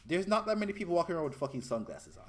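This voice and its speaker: A man's voice: on the high side, very animated, rapid-fire. A man from Jamaica co-hosting a podcast, bantering casually, swearing freely and laughing often. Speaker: podcast co-host.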